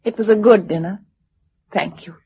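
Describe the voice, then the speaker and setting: A woman's voice: mid-range voice, moderate pace, slight background noise, lightly acted. An actress with an English accent playing an anxious, tender woman surviving a catastrophe in a vintage radio drama, with an emotive delivery. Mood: light, wistful. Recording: muffled vintage radio recording.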